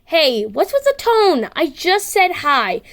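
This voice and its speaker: Woman voicing a whiny, high-pitched theatrical boy. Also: huge pitch swings, slow pace, a bit bright, exaggerated voice. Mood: teasing. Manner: dramatic, whiny, bickering. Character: boisterous, theatrical, easily offended boy.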